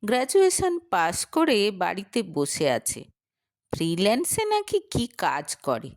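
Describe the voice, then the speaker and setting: A woman's voice: medium pitch, wildly swooping pitch, moderate pace, no room sound, clean studio sound. A woman reading a scripted romantic short story as its narrator.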